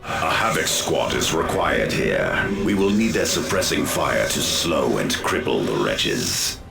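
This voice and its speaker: An actor playing a contemptuous, pompous evil warlord in a video game, speaking in measured, menacing phrases. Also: male voice, very deep voice, sing-song, vocal fry, music in the background, heavily acted. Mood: haughty, instructive. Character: lordly, contemptuous, patient evil Chaos warlord commander. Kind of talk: theatrical video game villain acting.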